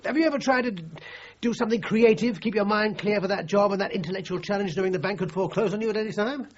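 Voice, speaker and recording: A man's voice: sits high, fast talker, close to an everyday voice. An actor playing a defensive, down-on-his-luck film composer in a radio crime drama, hesitant and prickly in his self-justification. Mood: agitated. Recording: muffled, noisy radio drama recording.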